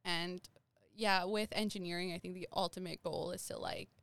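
Young woman guest, Nepal-born, loose rambling chat, smooth clear tone, dry close sound. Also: fairly low pitch, steady tone, normal speed, a bit bright, no echo. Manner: rambling, many "like" fillers. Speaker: interview guest. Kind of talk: casual conversational interview.

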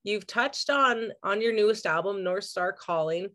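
A young woman interviewer with a medium-pitched voice. She asks casual questions, hesitating and filling her sentences with "like". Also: measured pace, fairly bright tone. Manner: hesitant, lots of "like".

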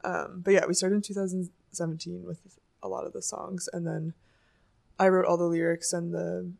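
Giggly low-voiced young woman. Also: flat delivery, moderate pace, vocal fry, darkish tone. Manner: giggly, rambling, many "like" fillers. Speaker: singer and songwriter. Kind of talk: casual interview chat.

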